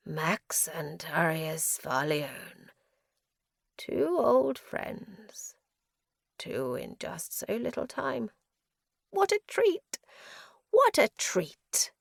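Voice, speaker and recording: A woman voicing a deranged, delighted male prisoner in his forties in an audiobook story, breathy and erratic in his exclamations. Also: high voice, huge pitch swings, extremely slow, pauses often, no room sound, clean studio sound, voice pushed up, full character voice. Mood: breathless glee.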